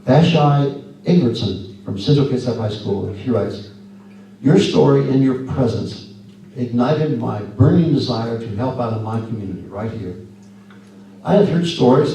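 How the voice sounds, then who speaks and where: A man with a scratchy voice giving a folksy, earnest talk at a church and answering questions, halting often with fillers and restarts. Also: mid-range voice, warm tone. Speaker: guest speaker. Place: church sanctuary. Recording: echoey hall from far off.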